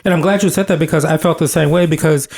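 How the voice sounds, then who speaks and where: A man in his thirties with a somewhat high voice talks through a podcast topic in a casual, earnest way. He circles back on his phrases, stacking repetitions for emphasis, and often restarts mid-sentence. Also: fairly even intonation, fast talker, creaky, darkish tone. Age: 30s. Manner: earnest, repeats himself for emphasis. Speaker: podcast co-host.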